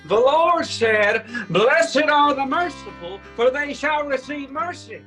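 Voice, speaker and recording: A man playing a fervent, solemn preacher, declaiming a drawn-out dramatic sermon about sin. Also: extremely high pitch, expressive, a bit bright, heavily acted. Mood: solemn, exhorting. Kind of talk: dramatic sermon in rhymed verse. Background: plucked guitar music.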